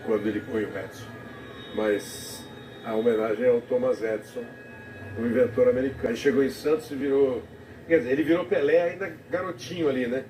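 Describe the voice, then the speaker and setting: A male TV commentator reflecting on a live broadcast, talking haltingly with many restarts. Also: mid-range voice, dark tone. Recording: TV audio recorded off the air from a distance in an echoey room, noisy.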